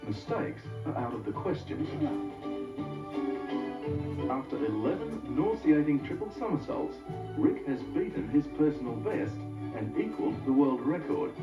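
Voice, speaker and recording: A man reading scripted documentary narration in a dramatic, measured way, from an archive TV clip. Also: medium pitch, big pitch swings, distant mic. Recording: dull, roomy archive TV audio with music.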